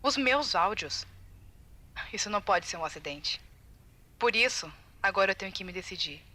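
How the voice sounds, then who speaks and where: Video game audio log: woman playing anxious, determined female tester, Brazilian, bright tense tone, confiding and urgent delivery. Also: mid-range voice, expressive, moderate pace. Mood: uneasy, resolved. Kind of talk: recorded audio-log monologue, video game fan dub.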